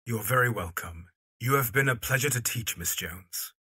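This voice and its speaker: A man's voice: mid-range voice, expressive, normal speed, pushed and bright, breathy edge, no echo, studio quality. A man acting a courteous, bashful young music teacher in an audio drama, formal and hesitant, with birdsong behind. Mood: polite.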